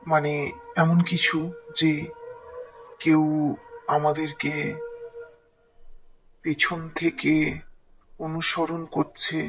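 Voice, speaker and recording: A woman's voice: low voice, little pitch movement, fairly bright tone, faint music behind, full character voice. Hesitant young man voiced by a woman. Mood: uneasy. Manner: halting, hesitant. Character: uneasy, hesitant young man among friends.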